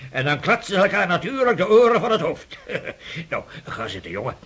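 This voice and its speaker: An actor playing a gruff, mocking old prince and father in a radio drama, brusque and scoffing, with a husky, gravelly voice. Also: sits high, huge pitch swings, a bit bright, exaggerated voice. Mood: brusque, impatient. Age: older. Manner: brusque, scoffing, rapid-fire questioning.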